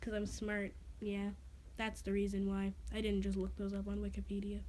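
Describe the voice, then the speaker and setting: A woman's voice: medium pitch, completely flat delivery, normal speed, darkish tone, slight background noise. A woman reading an amateur roleplay story in a playful, theatrical way, with self-mocking asides. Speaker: reader of own creative writing.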